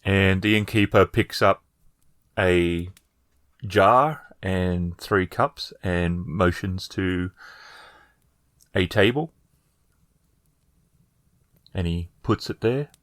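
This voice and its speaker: A man narrating a tabletop game as game master, improvising with halting, hesitant phrasing. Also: sits low, a bit bright, no room sound, clean studio sound.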